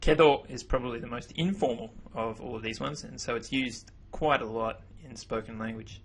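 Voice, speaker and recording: A man whose first language is English, explaining grammar over slides in a relaxed voice-over, often repeating himself as he goes. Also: mid-range voice, moderate pace, slight background noise. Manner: relaxed, self-correcting explanations. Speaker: language teacher. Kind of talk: narrated slideshow lesson.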